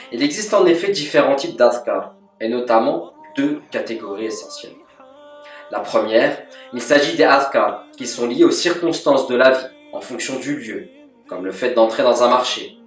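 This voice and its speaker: A man giving a calm, measured religious lesson as a scripted voice-over, with Arabic devotional formulas woven into his speech. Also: medium pitch. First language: French. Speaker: religious teacher. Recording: echoey, from a distance.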